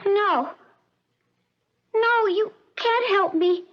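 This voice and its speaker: An actress playing a frightened, withdrawn young woman patient in a radio drama, her voice high, thin and tearful. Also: extremely slow, heavily acted. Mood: pleading, tearful. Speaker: hospital patient. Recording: dull lo-fi old radio recording.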